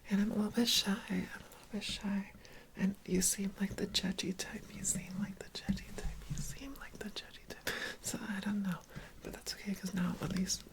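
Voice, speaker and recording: A woman whispers her way through an ASMR roleplay as a shy, awkward organ harvester. Her breathy voice often breaks into creaky fry. She talks haltingly, circling back to repeat phrases softly. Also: mid-range voice, low-quality recording. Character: awkward, shy female organ harvester prepping a living patient.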